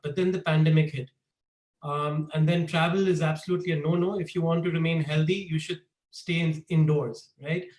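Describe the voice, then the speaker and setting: A man co-founder giving a relaxed webinar presentation over a video call, with many fillers. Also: on the high side. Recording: echoey room.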